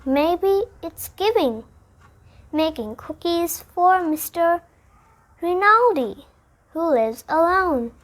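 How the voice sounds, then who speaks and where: A high, childlike voice reads a picture book aloud. The child goes slowly and deliberately through the story. Sentences come in short, separate phrases. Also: a bit bright. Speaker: storybook reader.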